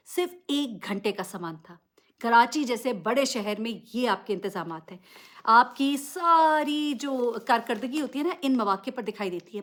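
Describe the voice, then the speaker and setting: A woman giving unscripted news commentary, addressing listeners directly and emphatically with rhetorical questions. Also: fairly high pitch. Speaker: vlogger presenting news commentary.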